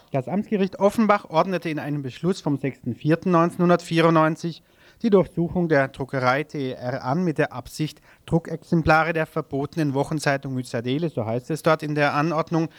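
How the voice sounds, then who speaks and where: A man with a slightly high voice presents the news from a radio studio. He moves between reading out items and loosely improvised, somewhat hesitant links. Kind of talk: live studio news overview, partly read out.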